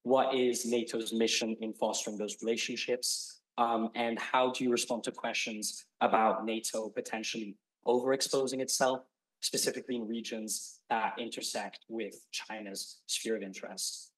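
Young student guy from luxembourg, lowish voice, polite question. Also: fairly even intonation, moderate pace, poor audio. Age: 20s. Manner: polite, some "um" fillers. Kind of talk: prepared question.

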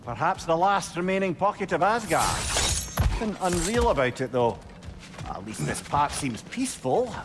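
A man's voice: on the high side, big pitch swings, moderate pace. A man playing a wry, chatty advisor in a video game, with a droll, eloquent, frank way of talking. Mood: musing. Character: wry, chatty, candid male advisor and companion. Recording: game audio with ambient noise.

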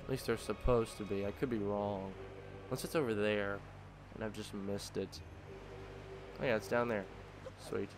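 A man's voice: on the low side, fairly even intonation, moderate pace, pauses often, noisy background. A man with a slightly nasal voice from allergies, casually talking through a video game and thinking aloud with frequent ums. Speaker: let's play host. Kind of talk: casual gameplay commentary. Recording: game music behind the voice. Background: game music.

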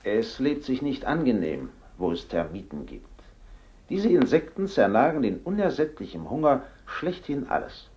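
An actor playing a male professor giving a formal, measured radio lecture in an old radio drama, his voice coming through a radio set. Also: mid-range voice, sing-song, darkish tone. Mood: lecturing. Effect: voice heard through a radio set. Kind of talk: 1950s radio drama acting. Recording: muffled old radio sound.

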